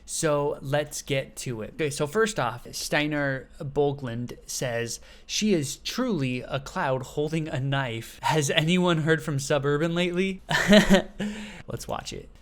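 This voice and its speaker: A man hosting a casual reaction video, chatty and excitable, often breaking into laughs. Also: fairly high pitch, slow pace.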